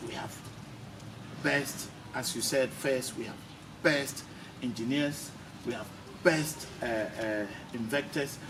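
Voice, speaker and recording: A man's voice: mid-range voice, slow. A man hosting a casual interview, often repeating phrases for emphasis. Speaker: interviewer, channel host. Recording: noisy, distant mic with ambient sound.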